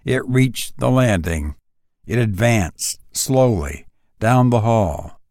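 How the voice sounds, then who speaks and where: Slow, low-voiced man reading a story. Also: animated, a little mellow, dry recording, studio quality. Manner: grave, deliberate, with drawn-out pauses. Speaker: audiobook reader. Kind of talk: dramatic narration of a literary horror story.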